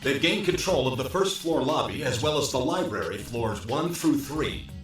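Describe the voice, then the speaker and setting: An actor playing a dutiful, efficient officer giving a formal, deferential report in an anime game dub. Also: male voice, medium pitch, lively intonation, normal speed, lightly acted. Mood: businesslike. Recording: very echoey, music behind.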